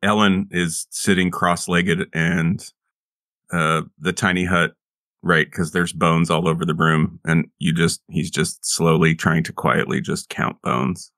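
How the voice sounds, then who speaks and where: A man's voice: low voice, little pitch movement, measured pace, fairly bright tone, no room sound. A man playing a tabletop game, chatting casually with dry, jokey humor.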